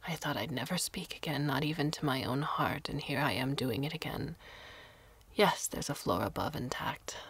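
A woman voicing a tiny, grieving old lacemaker who lives alone, speaking in a hushed, sorrowful near-whisper. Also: extremely low pitch, vocal fry, husky, dry recording, exaggerated voice.

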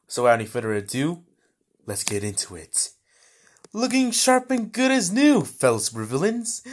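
A man acts out a gloating, hot-tempered supervillain from an amateur cartoon. The delivery is melodramatic and unhurried, stretching out the taunts. The pitch sits mid-range but swings widely, theatrical and vengeful. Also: slight background noise, lightly acted. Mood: smug, scheming, then gleeful, boastful. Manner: melodramatic, taunting, drawn-out exclamations. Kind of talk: amateur cartoon villain voicelines.

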